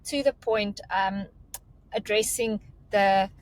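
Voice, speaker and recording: A woman from South Africa with a South African accent, a recruitment guest giving career advice on a webinar in a steady, instructive way. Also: medium pitch, takes it slowly, low-quality recording.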